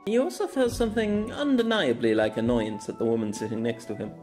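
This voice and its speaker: A man's voice: mid-range voice, a little fast, a little mellow. A man narrating a scripted novella as an audiobook. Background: music.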